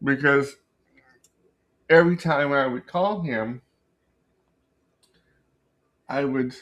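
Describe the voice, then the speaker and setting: A man hosting a book channel, telling a story casually and unscripted, haltingly searching for words and often rephrasing himself. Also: mid-range voice, fairly bright tone, highs cut off. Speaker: book review channel host.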